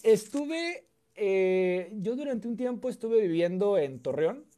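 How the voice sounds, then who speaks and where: A man from Mexico with a Mexican accent, a guest telling relaxed, chatty stories with many fillers and repeated words. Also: high voice, takes it slowly. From Mexico (Coahuila). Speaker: guest, content creator. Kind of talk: casual storytelling chat.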